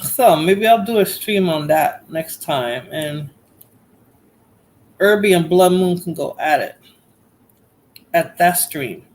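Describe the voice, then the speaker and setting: A woman's voice: on the low side, lively intonation, slow, pauses often, a little mellow, low-quality recording. Woman, Bronx accent, livestream host, chatty, repeats herself. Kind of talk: casual livestream chat.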